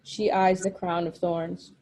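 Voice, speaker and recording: A woman's voice: on the low side, level tone, a little slow, mellow, poor audio. A woman narrating stage directions from a screenplay at a table read.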